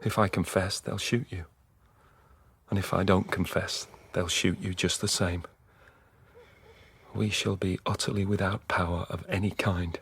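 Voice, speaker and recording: An actor playing a weary, haunted middle-aged dissident in an intimate, brooding radio drama style. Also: male voice, low voice, little pitch movement, a bit bright, slightly rough, exaggerated voice. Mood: resigned. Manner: slow, halting, brooding. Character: weary, haunted male dissident confiding memories and fears.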